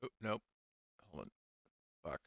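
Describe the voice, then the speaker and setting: A man running a tabletop game as game master, talking casually and haltingly as he thinks aloud. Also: fairly bright tone, dry recording, lo-fi sound.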